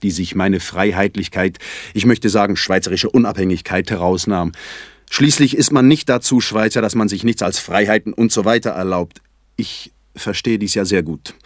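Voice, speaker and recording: An actor playing a fussy, self-satisfied poet in a theatrical literary monologue, with mannered, ornate, deliberate phrasing. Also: male voice, on the low side, no room sound, clean studio sound, natural voice. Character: fussy, self-satisfied Swiss poet confessing small escapades. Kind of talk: theatrical literary monologue in German stage diction.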